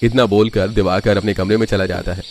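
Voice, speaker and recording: A man's voice: fairly low pitch, fairly even intonation, fairly quick, noisy background. A man narrating a scripted family story.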